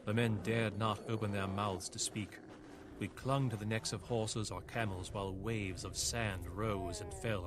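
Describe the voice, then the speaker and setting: An actor playing a weary captive European knight, narrating a video game campaign in a measured, solemn way. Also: male voice, fairly low pitch, noisy background, natural voice. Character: weary captive European knight chronicling his captors' war. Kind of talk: scripted dramatic narration.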